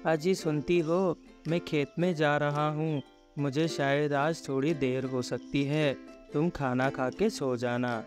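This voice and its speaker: Man acting a slow-spoken, kindly village farmer. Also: on the high side, fairly even intonation. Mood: calm. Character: kind, humble village farmer, a married man. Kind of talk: animated moral story dialogue. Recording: background music under the voice.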